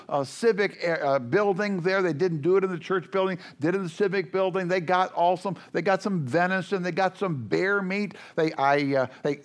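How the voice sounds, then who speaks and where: An older man preaching to a congregation in a loud, folksy, joking way, rambling with many fillers. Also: high voice.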